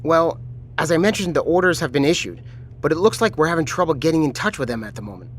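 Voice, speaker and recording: An actor playing a pragmatic submarine commander in an audio drama, measured, reasoning and placating. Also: male voice, fairly high pitch, expressive, a little hiss or hum, natural voice.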